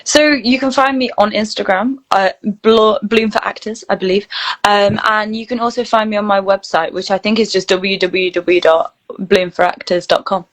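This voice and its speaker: Woman chatting casually, medium pitch. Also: fairly even intonation, moderate pace, rough recording. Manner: informal, self-deprecating, thinks aloud. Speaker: interview guest, actor and voice reel studio founder. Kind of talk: casual chat.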